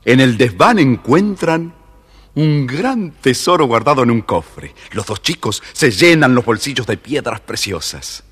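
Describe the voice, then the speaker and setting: Guy telling a kids story, low raspy voice, really animated, big reverb on it. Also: a little slow. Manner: expressive, confiding, theatrical. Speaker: storyteller narrator. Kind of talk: dramatic scripted children's narration.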